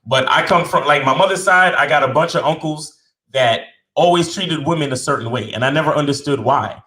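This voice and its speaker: A middle-aged man, born in Jamaica and raised in Brooklyn, talking as a guest in a relaxed, humble way with many fillers and restarts. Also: fairly high pitch, a little fast, roomy sound, low-quality recording. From born in Jamaica, raised in Brooklyn, New York. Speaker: podcast guest, dating coach. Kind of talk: casual interview chat.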